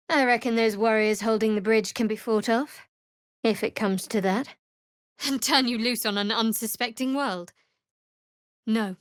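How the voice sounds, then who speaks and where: A woman playing a guarded, sardonic cleric of a dark goddess in a video game, with a dry, wry delivery. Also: fairly high pitch, no echo, close to an everyday voice. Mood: wary, observant, then firm, guarded. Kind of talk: video game character voice lines.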